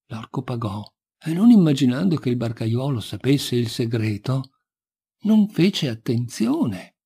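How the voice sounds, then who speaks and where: A man's voice: medium pitch, warm tone, slightly rough. A man reading a folk tale expressively as a storyteller.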